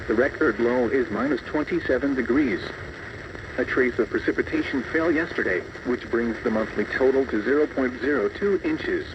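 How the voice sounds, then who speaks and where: A man's voice: mid-range voice, recorded from a distance. A synthetic male announcer voice reading a scripted weather bulletin in an impersonal way, in short clipped phrases. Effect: synthetic text-to-speech voice. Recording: muffled, noisy radio reception.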